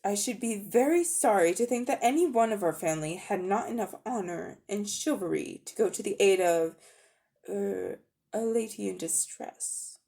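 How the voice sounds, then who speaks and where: A woman's voice: medium pitch, animated, moderate pace, vocal fry, mellow. A woman voicing a haughty, cold-hearted older magician uncle in a dramatic storybook reading, condescending and coolly menacing. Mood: pompous.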